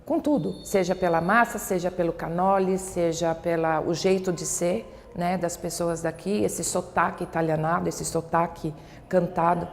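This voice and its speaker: A woman's voice: fairly low pitch, fairly even intonation, moderate pace, vocal fry. A Brazilian woman with a São Paulo accent, talking conversationally in a documentary interview. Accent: São Paulo Brazilian Portuguese. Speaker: local resident interviewee. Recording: long reverberant hall, faint background noise.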